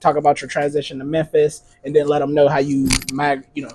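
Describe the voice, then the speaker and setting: A man's voice: on the high side, completely flat delivery, quick pace, noisy background. A man hosting an interview in a laid-back way with many fillers. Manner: laid-back, laughs often, lots of fillers. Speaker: host.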